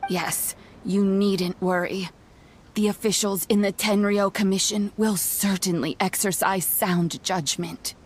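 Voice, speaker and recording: An actress voicing a kindly elderly grandmother in a game, unhurried, fond and reassuring, proud of the young man she raised. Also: medium pitch, fairly even intonation, slightly rough. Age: older. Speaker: village elder caring for children. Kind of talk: game cutscene voice acting.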